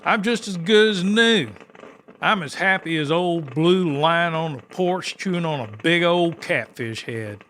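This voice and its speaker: A man's voice: fairly high pitch, lively intonation, unhurried, a bit bright, a little hiss or hum. A man playing a rowdy, good-natured backwoods warrior in an audiobook drama. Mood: content. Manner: folksy, boisterous.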